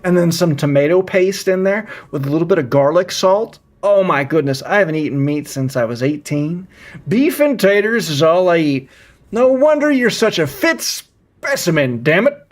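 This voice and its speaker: A man's voice: high-pitched, lively intonation, normal speed. A man in his thirties chatting casually as a live streamer, rambling and often repeating his phrases. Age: 30s. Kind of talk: casual chat with viewers while gaming.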